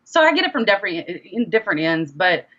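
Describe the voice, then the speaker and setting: A woman's voice: fairly low pitch, a little fast, lo-fi sound. A woman rapper and singer being interviewed, chatting casually and telling stories by acting out bits of conversation. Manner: animated storyteller, quotes conversations in dialogue.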